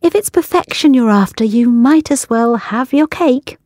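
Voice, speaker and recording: A woman reading a literary short story aloud in a languorous, deliberate manner. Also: a little high, lively intonation, warm tone, no echo, studio quality. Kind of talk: scripted literary narration.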